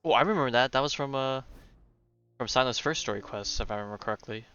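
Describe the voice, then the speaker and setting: A man's voice: mid-range voice, fast, a bit bright, clean tone, muffled recording. A man streaming a game, giving casual, rambling commentary as he thinks aloud. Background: game music.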